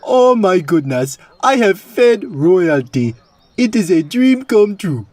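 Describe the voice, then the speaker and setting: A man's voice: high-pitched, sing-song, slow pace, a little mellow, no room sound, exaggerated voice. A man playing a jolly, humble tavern cook in a fantasy audio drama, earnest and eager to help. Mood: awestruck. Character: jolly, humble male tavern cook, kindly and easily awed.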